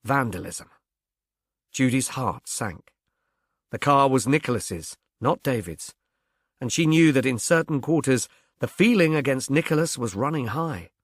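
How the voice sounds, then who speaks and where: Measured male audiobook narrator, dry sound. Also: mid-range voice, animated, dry recording. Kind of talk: scripted narration of a British mystery novel.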